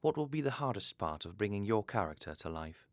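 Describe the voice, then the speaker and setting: A man's voice: lowish voice, very animated, brisk, no echo, clean studio sound. A man hosting an interview series, reading formal, scripted introductions and questions.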